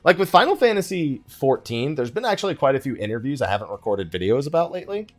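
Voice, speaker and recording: A man's voice: medium pitch, sing-song, quick pace, soft background music. A man giving casual let's play commentary as a video creator, rambling and thinking aloud, often sidetracking himself. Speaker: gaming video creator and live streamer.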